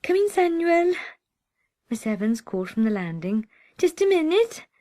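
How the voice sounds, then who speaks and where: A woman voicing a timid, fussing middle-aged spinster who talks softly and anxiously. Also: on the high side, normal speed, voice pushed up, close to an everyday voice. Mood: calling. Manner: soft, anxious, fluttery.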